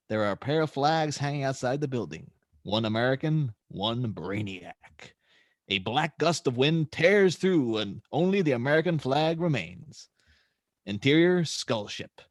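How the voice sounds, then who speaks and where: Casting: male narrator for screenplay directions, animated mid-range voice, dry recording. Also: mid-range voice, no room sound. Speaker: narrator reading stage directions. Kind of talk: scripted narration at an amateur table read.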